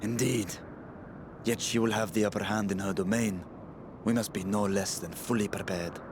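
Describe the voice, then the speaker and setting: A man's voice: fairly low pitch, steady tone, moderate pace, noisy background, close to an everyday voice. A man playing a proud male dragon in a fantasy game, speaking in a grave, formal, archaic manner. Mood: grave resolve. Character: proud, formal male dragon seeking his lost sister. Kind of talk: scripted video game voice acting.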